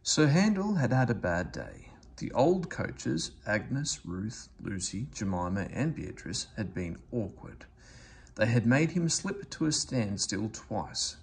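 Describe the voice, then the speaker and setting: A man reading a children's story aloud in an expressive storytelling manner. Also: fairly low pitch, takes it slowly, creaky. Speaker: storybook reader.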